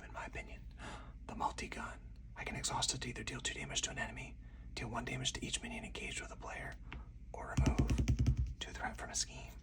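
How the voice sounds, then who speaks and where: Someone speaking in a breathy, deliberate, hushed whisper, in the style of an ASMR game playthrough with commentary. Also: mid-range voice, recorded from a distance. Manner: slow, deliberate, hushed delivery. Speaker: solo card game player and host.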